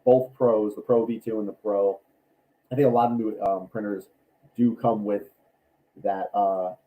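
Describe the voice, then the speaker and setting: A man with a raspy voice from allergies, chatting casually on a livestream about his builds, rambling with many ums and restarts. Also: on the low side, steady tone, unhurried, phone-quality sound. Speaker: cosplay maker and YouTuber.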